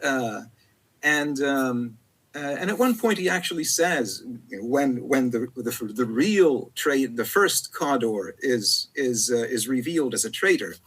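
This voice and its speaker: Husky, halting male poet. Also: medium pitch, lively intonation, fairly bright tone. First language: Italian. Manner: halting, many fillers and repeated words. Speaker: poet, interview guest. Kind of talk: reflective conversational interview.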